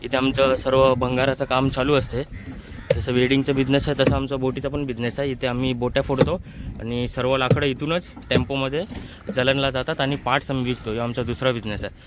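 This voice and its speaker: A man's voice: mid-range voice, level tone, fairly quick. A man with a Mumbai accent giving casual vlog commentary, repeatedly pointing things out to viewers. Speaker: vlogger. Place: outdoors on a small open boat on a creek. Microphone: handheld phone. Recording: noisy outdoor boat recording.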